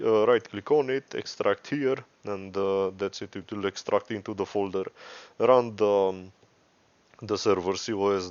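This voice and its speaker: Slow low-voiced man giving a tutorial. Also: a little mellow, clean tone, no echo, dull audio. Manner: rambling, profane, self-correcting. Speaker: tutorial presenter. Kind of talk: casual unscripted screen-share software tutorial.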